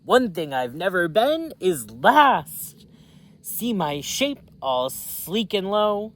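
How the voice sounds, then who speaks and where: A woman's voice: fairly low pitch, sing-song, slow, pitched above the performer's own voice. A woman voicing an eager, boastful young female race car in a storybook, pleading to race.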